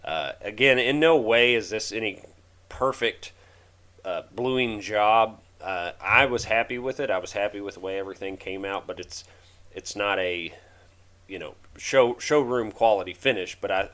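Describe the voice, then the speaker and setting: Raspy low-voiced man with a cold. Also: bright, forceful tone. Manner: halting, many fillers and restarts. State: hoarse from a head cold. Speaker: DIY channel host. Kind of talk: casual voice-over narration of a restoration video.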